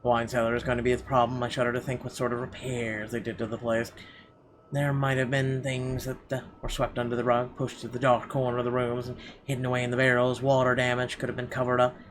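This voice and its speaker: A man playing a game on stream, reading its narration aloud haltingly and reacting casually and bluntly. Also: mid-range voice, completely flat delivery. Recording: clearly audible background noise.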